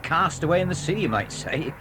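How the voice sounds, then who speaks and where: An actor playing a local car driver who warns children in a folksy, ominous way in an audio drama. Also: male voice, fairly high pitch, big pitch swings, brisk. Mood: folksy, informative. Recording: noisy old cassette tape.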